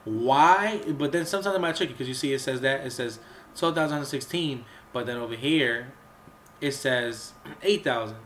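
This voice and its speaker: A man's voice: medium pitch, normal speed, fairly bright tone, slight background noise. Man with a cold, rambling through a game. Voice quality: sounds a bit off from a cold. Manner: rambling, with many fillers and restarts. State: under the weather, coughing and clearing his throat. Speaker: gaming channel host. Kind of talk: casual gameplay walkthrough commentary.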